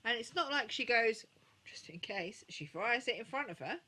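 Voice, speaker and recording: A woman's voice: on the high side, animated, moderate pace, pushed and bright. A woman chatting casually as a panellist on a podcast. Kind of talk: casual chat.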